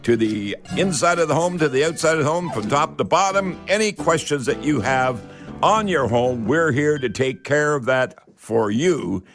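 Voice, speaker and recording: A man hosting live call-in radio in a folksy, halting, rambling way. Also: a little high, lively intonation, music in the background. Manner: folksy, addresses listeners as folks; halting, rambling delivery with many hesitations. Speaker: radio host.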